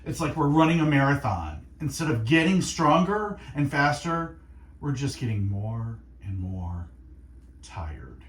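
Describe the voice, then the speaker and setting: Earnest male radio host, very expressive pitch. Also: echoey room, slight background noise. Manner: earnest, reflective, speaking in short deliberate phrases. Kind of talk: faith-based encouragement monologue on music radio. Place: radio studio.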